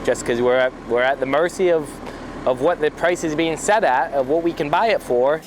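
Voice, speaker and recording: A man's voice: a little high, moderate pace. A man who owns a small business, speaking conversationally in an interview. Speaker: small heating fuel business owner. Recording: clearly noisy location sound.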